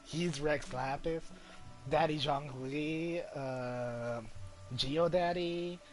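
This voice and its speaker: A man giving casual, joking gameplay commentary in a halting way. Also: a little high, soft background music. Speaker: gamer playing and commenting.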